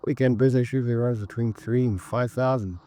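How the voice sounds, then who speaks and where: A man's voice: medium pitch, steady tone, warm tone, close to an everyday voice. An actor playing a male store manager and robbery victim in a 1950s radio crime drama, talkative, colloquial and a little irked. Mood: weary, irked. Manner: colloquial, halting, rambling witness talk.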